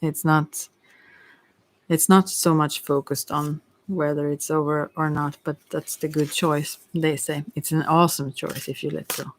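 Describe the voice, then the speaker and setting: A tarot card reader talking informally to listeners in a halting, rambling way, often stumbling over words. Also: medium pitch, little pitch movement, a little hiss or hum, low-quality recording.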